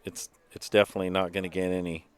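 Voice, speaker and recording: A man's voice: deep voice, flat delivery, fast talker, clean tone. A man who is a singer-songwriter, a guest on a podcast, talking in an easygoing, rambling way. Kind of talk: casual chat.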